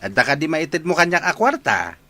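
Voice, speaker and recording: A man's voice: a little high, sing-song, a little fast, pushed and bright, full character voice. A man playing a nagging, impatient village father who pesters for money in an Ilocano comedy radio drama. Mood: pestering.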